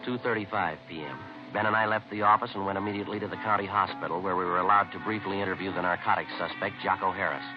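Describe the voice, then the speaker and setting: An actor playing a terse police detective, narrating and questioning in a deadpan 1950s radio drama style. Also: male voice, low-pitched, fast, hardly any pauses, over loud music, natural voice. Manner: deadpan, clipped. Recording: muffled vintage radio recording.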